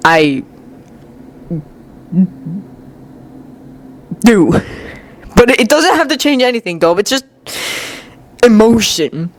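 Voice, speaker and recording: Woman as a proud, aloof, animated ninja boy. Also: fairly high pitch, normal speed. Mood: stiff, embarrassed.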